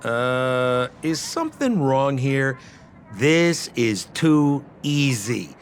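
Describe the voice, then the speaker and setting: A man's voice: medium pitch, big pitch swings, very slow, a little hiss or hum, exaggerated voice. An actor playing a wry, puzzled young male detective's assistant in a video game, musing hesitantly. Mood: wry, doubtful. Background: game music.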